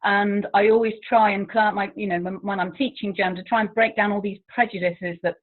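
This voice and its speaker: A woman's voice: medium pitch, steady tone, brisk. An English woman, a languages teacher, chatting as an interview guest, talkative and self-deprecating with frequent laughs. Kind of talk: casual interview chat. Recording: tinny phone-like line.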